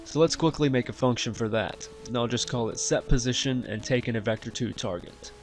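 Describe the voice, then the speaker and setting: A man hosting a software tutorial, explaining each step in an instructional way. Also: medium pitch, a little fast, faint music behind. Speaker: tutorial host. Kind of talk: software tutorial narration over screen recording.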